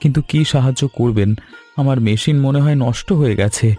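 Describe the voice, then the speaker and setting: A man voicing a humble, anxious weaver and husband in an animated story, speaking in an earnest, plaintive way. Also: medium pitch, a little fast, faint music behind, natural voice. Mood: despairing. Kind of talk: Bengali animated story dialogue.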